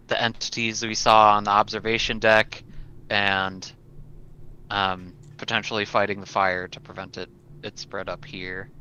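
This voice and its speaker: A man's voice: deep voice, bright, forceful tone, a little hiss or hum, rough recording. A man playing a tabletop roleplaying game, talking through plans casually with hesitant, trailing phrasing.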